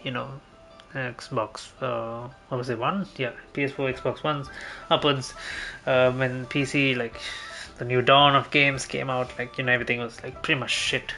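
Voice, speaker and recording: A man's voice: mid-range voice, level tone, normal speed, bright, forceful tone, soft background music. A man giving casual gameplay commentary as a streamer, rambling and hesitant, often repeating himself. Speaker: game streamer.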